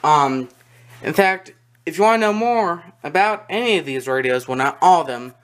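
Halting young-sounding hobbyist, casual show-and-tell. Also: mid-range voice, lively intonation, smooth. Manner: halting, matter-of-fact. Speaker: video creator showing a radio collection. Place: small room at home, indoors. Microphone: handheld camera close by. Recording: noisy handheld home recording with clicks.

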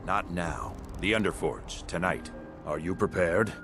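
An actor playing a grave, proud veteran warrior mentor in a fantasy video game, speaking in a measured, secretive, solemn way. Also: male voice, sits low, over music.

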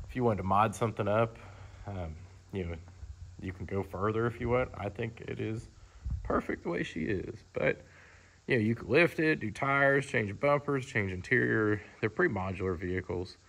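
A man's voice: lowish voice, vocal fry, fairly bright tone, low-quality recording. A man presenting a vehicle as a car dealer, in a casual, off-the-cuff, matter-of-fact sales walkaround that rambles along informally. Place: large indoor car showroom. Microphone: handheld camera, speaker behind it.

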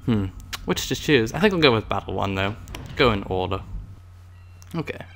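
A man's voice: fairly low pitch, big pitch swings, normal speed, a little hiss or hum. A man hosting a gaming video in a put-on British accent, giving hammy, playful commentary as a loyal Imperial pilot. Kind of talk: playful in-character game commentary.

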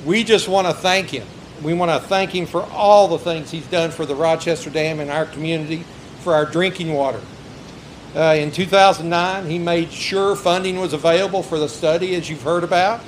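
A man's voice: a little high, fairly even intonation, moderate pace, slight background noise, rough recording. A middle-aged man reading prepared remarks at a stand microphone outdoors, with frequent hesitant fillers. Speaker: local official. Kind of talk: introduction speech and technical explanation. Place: outdoors by a river.

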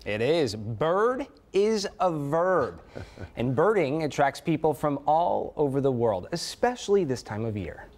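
A man's voice: on the high side, sing-song, unhurried, a little mellow, a little hiss or hum. A man reporting for local TV news, chatting on set and asking questions in the field.

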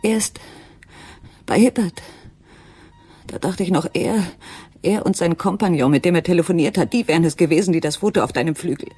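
A woman playing an anguished, frightened wife and mother in a radio drama, speaking haltingly and intimately, at times near a whisper. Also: fairly low pitch, warm tone. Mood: fearful, urgent. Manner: breathy, halting, dropping into whispers. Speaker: actress in a crime radio play.

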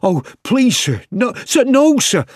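A man's voice: very high-pitched, sing-song, slow, dry recording, studio quality, pitched above the performer's own voice, exaggerated voice. A man voicing a loyal young soldier in a story, emotional and pleading in manner. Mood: pleading, desperate.

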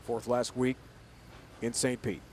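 A man doing energetic live play-by-play commentary on a bowling match from a packed arena. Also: mid-range voice, slow, background noise. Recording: loud crowd and music behind. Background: loud cheering crowd and music.

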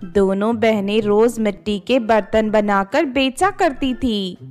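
A woman's voice: a little high, takes it slowly, a little mellow. A woman reading scripted fairy-tale narration as the storyteller of a children's cartoon. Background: background music.

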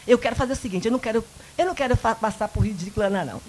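A woman in her fifties from the Bahia countryside with a Bahian Brazilian accent, a guest in a small local studio interview, telling lively personal stories into a handheld mic and acting out the dialogue. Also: a little high, very fast, slight background noise, rough recording. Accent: Bahian Brazilian Portuguese. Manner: animated, acts out quoted dialogue, frequent "né?". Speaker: guest, event producer. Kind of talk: casual interview storytelling.

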